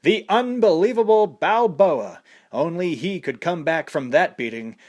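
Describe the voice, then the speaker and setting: A man voicing an excited male fight announcer calling a boxing match play by play, raised high and loud above his own voice. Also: measured pace. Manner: breathless play-by-play.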